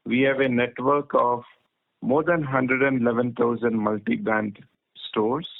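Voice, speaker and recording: Gravelly Indian businessman on a phone line. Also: medium pitch, steady tone, unhurried. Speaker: company managing director. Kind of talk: formal prepared business remarks. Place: conference phone call. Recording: thin, tinny phone line.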